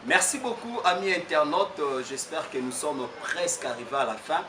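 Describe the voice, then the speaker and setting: A man's voice: fairly high pitch, expressive, moderate pace. Congolese man interviewing, distant, echoey. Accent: Congolese French. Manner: prompting, mixes in Lingala. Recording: roomy, distant mic, noisy background.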